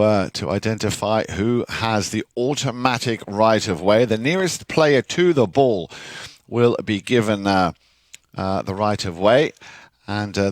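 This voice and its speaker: Man, polo play-by-play, measured delivery, dry close sound. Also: on the low side, no room sound. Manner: steady, measured, with frequent uh fillers. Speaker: polo commentator. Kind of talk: sports play-by-play commentary.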